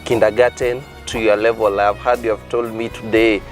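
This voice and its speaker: A man's voice: on the low side, little pitch movement, normal speed, faint music behind. A man with a Kenyan accent interviewing a guest, asking long, encouraging questions.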